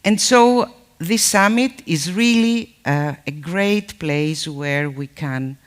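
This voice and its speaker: Slow, slightly high-voiced man, formal conference welcome, measured with many fillers. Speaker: conference speaker.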